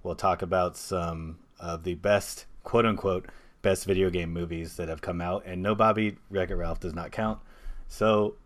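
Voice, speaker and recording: A man's voice: sits low, steady tone, normal speed. A man hosting a casual podcast chat, talking with dry, teasing humour. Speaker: podcast host.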